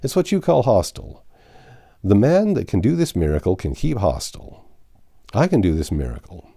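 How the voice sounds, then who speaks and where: A man's voice: fairly low pitch, very animated, moderate pace, creaky, warm tone, slightly rough, full character voice. A man voicing a confident, easily exasperated Yankee in a novel reading, blunt, plain-spoken and boastful. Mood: self-assured. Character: confident, exasperated Yankee man stranded among medieval folk. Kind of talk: audiobook character dialogue.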